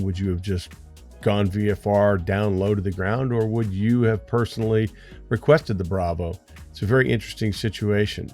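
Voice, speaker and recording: An American man with a low-pitched voice speaks as a flight instructor hosting his own channel. He tells his story conversationally and earnestly, addressing viewers directly. Faint music sits behind his voice. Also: darkish tone.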